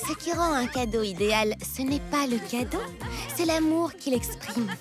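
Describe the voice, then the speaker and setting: A caring young cartoon girl, sweet and gentle. The voice sits extremely high and moves in animated, sing-song swoops, with music underneath. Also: moderate pace. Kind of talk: French animated cartoon dub. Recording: music bed under the dialogue.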